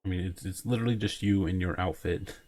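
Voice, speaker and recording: Deep-voiced male game streamer. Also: fairly even intonation, fast talker, a little mellow. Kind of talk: casual playthrough commentary.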